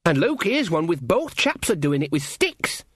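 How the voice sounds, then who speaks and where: Storybook country lad of twenty played by a male reader: guileless, polite, excitable, raised pitch, very animated. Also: moderate pace, husky, no echo, studio quality, exaggerated voice. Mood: amazed, delighted. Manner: polite, guileless, excitable, rustic turns of phrase. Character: eager, naive, helpful young countryside guard, male, twenty.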